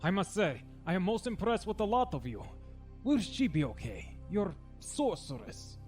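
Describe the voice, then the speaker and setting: Casting: man as suave older villain for audio drama, formal manners, high lively voice, courteous threat. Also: moderate pace, soft background music. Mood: smooth menace. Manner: formal, elaborately polite. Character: suave menacing older man with a cat companion.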